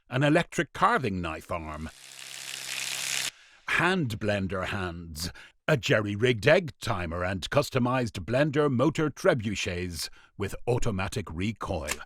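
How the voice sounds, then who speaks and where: A man narrating a scripted radio play in a dramatic, deliberate storytelling manner. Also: on the low side, noisy background.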